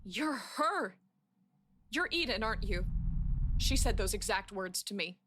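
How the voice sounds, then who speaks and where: Actress as slow-speaking, troubled female patient, noisy recording. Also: medium pitch, expressive, natural voice. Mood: betrayed anger. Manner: slow, wounded, accusing. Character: troubled, defiant young female psychiatric patient. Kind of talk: radio drama acting. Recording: clear background noise.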